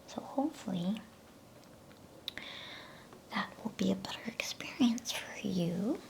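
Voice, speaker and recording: A woman speaking in a breathy, soothing, unhurried whisper, as in an ASMR bedtime reading. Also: medium pitch, lively intonation, low-quality recording. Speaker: ASMR bedtime story reader. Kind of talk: whispered ASMR reading aloud of a children's novel.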